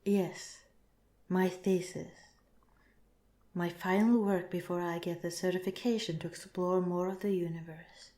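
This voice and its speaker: A woman voicing a calm, curious alien researcher in a softly spoken, part-whispered ASMR roleplay, with formal, measured, clinical phrasing, in an echoing recording. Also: on the low side, close to an everyday voice. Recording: roomy, echoing voice.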